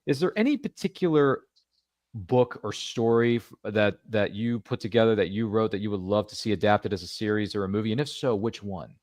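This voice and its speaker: A man's voice: fairly low pitch, brisk, darkish tone, dry recording, clean studio sound. A man hosting a podcast interview, chatty and rambling, with jokey asides. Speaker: podcast host. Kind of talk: casual interview chat.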